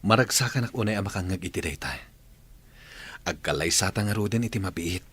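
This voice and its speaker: Low-voiced, devoted Ilocano suitor. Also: male voice, a little fast, a bit bright, husky. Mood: happy, tender. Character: devoted, earnest young suitor. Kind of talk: Ilocano radio drama acting.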